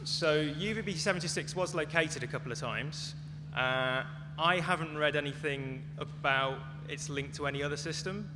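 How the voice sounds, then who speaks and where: A man's voice: fairly high pitch, moderate pace. Man explaining informally in an echoey, humming hall. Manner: tentative, hedged explaining. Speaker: conference speaker answering audience questions. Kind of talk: informal Q&A talk. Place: large echoey venue at a live talk. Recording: echoey hall, clear background noise, hum.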